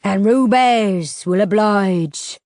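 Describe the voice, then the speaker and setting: A woman voicing a menacing scaly male ferryman who croaks and speaks slowly and threateningly in a throaty rumble. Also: a little mellow, dry recording, studio quality. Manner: slow, drawn-out and threatening. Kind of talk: audiobook character voice.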